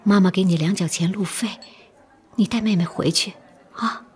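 An actress playing a poor, self-denying mother close to tears in a radio drama, speaking gently and coaxingly with breathy sighs. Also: mid-range voice, normal speed, faint music behind, close to an everyday voice. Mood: loving, coaxing. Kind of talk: Chinese radio drama acting.

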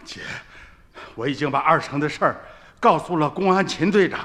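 An actor playing a middle-aged village uncle in a radio drama, urgent and principled, barking orders and exclaiming. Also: male voice, a little high, normal speed, bright, forceful tone, long reverb tail. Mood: firm, insistent.

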